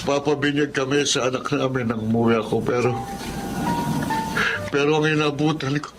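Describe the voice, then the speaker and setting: A man's voice: mid-range voice, steady tone, moderate pace, natural voice, low-quality recording. A man with a Filipino accent acting an anguished, grieving seaman husband in a radio drama, pleading dramatically. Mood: drunken bitterness. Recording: loud dramatic music underneath.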